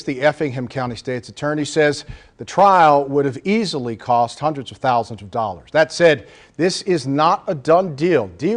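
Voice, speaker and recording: An American male news anchor with a mid-pitched voice delivers a scripted local newscast. The reading is the kind heard from a studio desk on evening television.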